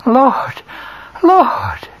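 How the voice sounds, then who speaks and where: A man's voice: medium pitch, animated, moderate pace, lo-fi sound, lightly acted. A man voicing an impulsive, emotional fisherman apostle, speaking plainly and then rising with feeling. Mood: overwhelmed.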